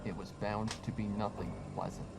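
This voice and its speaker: A man's voice: lowish voice, level tone, normal speed, vocal fry, a little mellow. A man narrating a scripted horror story in a dramatic reading style. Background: music.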